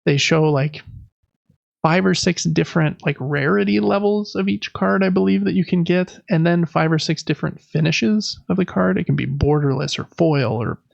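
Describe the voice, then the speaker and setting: A man's voice: on the high side, gravelly, no room sound, muffled recording. A man hosting a gaming podcast, running through news in a casual, conversational and informal way. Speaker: podcast host.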